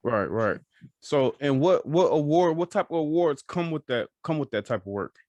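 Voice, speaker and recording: A man's voice: fairly high pitch, expressive, normal speed, darkish tone, no echo. Male interviewer, informal podcast host, dry close sound, loosely phrased questions that restart and repeat. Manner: hesitant, repeats phrases while forming questions. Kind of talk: casual interview.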